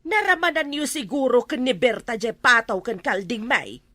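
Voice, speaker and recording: A woman's voice: a little high, animated, moderate pace, a bit bright, no room sound, clean studio sound. A woman with an Ilocano accent playing an angry, threatening village matron in an amateur comedy drama. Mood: accusing.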